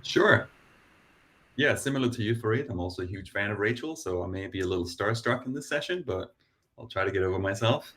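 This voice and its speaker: A man from Denmark with a softened Danish accent, introducing himself on a video-call panel in a modest, self-deprecating way with halting restarts. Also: lowish voice, animated. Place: London.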